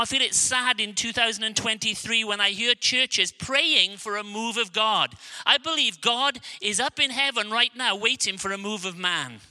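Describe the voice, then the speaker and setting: A middle-aged Welsh man preaching a sermon, earnest and emphatic, dropping low and slow on key lines. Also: bright, forceful tone, clear, resonant tone, poor audio. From Wales. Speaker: guest preacher.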